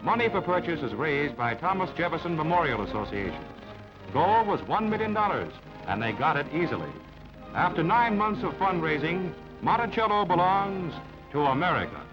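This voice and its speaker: A man's voice: fairly high pitch, big pitch swings, moderate pace, highs cut off. A man reading scripted newsreel narration in a formal, declamatory announcer's style. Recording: band-limited old newsreel, music underneath. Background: background music.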